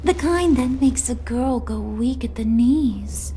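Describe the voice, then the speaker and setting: A woman's voice: fairly high pitch, lively intonation, slow, warm tone, slight background noise. A woman playing a brash, flirtatious and menacing villain in a video game, with a sassy, taunting, mock-sweet delivery. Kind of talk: dramatic video game acting.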